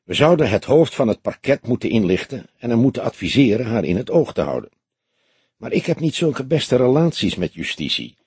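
A man voicing a calm, wry old police detective in a measured, dignified audiobook delivery. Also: medium pitch, breathy edge, no room sound. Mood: matter-of-fact. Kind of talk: audiobook character dialogue.

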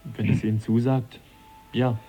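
An actor playing a reserved, sensitive young English naturalist in a radio drama, speaking politely in a measured, understated way. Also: male voice, medium pitch, little pitch movement, warm tone, faint music behind, close to an everyday voice.

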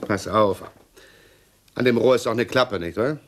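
A man's voice: lowish voice, unhurried, a bit bright, slight background noise. An actor playing a pedantic, self-satisfied middle-aged policeman husband in a radio play, fussy and blustering, repeating himself. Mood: nagging, irritated. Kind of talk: 1960s German radio play acting.

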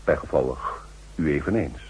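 A man playing a shrewd, authoritative statesman in formal, measured speech for an old radio play. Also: a bass voice, a little hiss or hum. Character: shrewd, commanding male excellency, a powerful statesman. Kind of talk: 1960s Dutch radio play acting.

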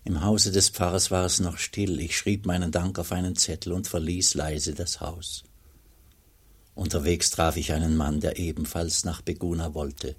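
Very deep, husky, devout monk. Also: male voice, level tone. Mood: quiet, devout. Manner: measured, earnest. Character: humble, devout monk recounting his journey. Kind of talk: radio play narration.

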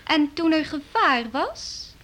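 A woman's voice: high-pitched, lively intonation, slow pace, fairly bright tone, full character voice. An actress playing a playful, headstrong young queen with a childlike manner in a Dutch radio play. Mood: proud, insistent. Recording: noisy old radio play recording.

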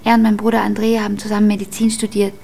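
Actress as confiding young woman, gravelly edge. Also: medium pitch, completely flat delivery, rapid-fire, mellow, slight background noise, close to an everyday voice. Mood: confiding, weary. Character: frank, melancholy young woman confiding in a stranger. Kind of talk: German radio drama acting.

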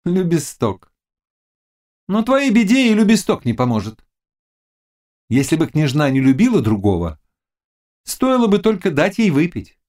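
A man voicing a mocking, scheming Cossack witch woman in an audiobook, with loud, taunting exclamations. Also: fairly high pitch, wildly swooping pitch, measured pace, pauses often, voice pushed up. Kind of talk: audiobook character dialogue.

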